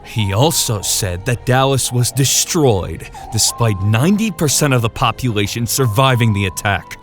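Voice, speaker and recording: An actor playing a cynical, gruff exorcist sidekick with a sardonic, dismissive manner in a fantasy audiobook drama. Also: male voice, mid-range voice, expressive, normal speed, soft background music, lightly acted. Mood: scornful.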